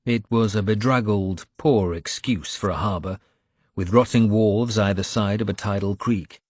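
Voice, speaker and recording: A man narrates a historical adventure in the first person. His voice is low and the sound is dry, with a dramatic, scripted storyteller's delivery. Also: moderate pace, breathy edge, dry recording. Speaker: audiobook narrator.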